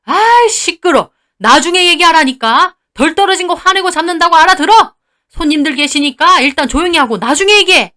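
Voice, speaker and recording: A woman voicing an irritated older father-in-law in a dramatized story, impatiently hushing people. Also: extremely high pitch, animated, a little fast, voice pushed up, exaggerated voice. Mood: exasperated.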